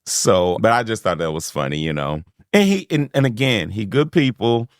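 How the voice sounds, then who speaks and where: A man's voice: lowish voice, lively intonation, moderate pace, dry recording, studio quality. A man hosting a podcast, chatting casually and animatedly, laughing often and acting out quotes. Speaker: podcast host. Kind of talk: casual chat.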